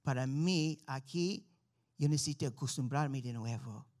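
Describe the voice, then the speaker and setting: A man's voice: medium pitch, unhurried, darkish tone. An American man, a native English speaker, giving an earnest missionary testimony and sermon to a church with an American accent. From Florida, United States. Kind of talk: testimony and preaching to a congregation.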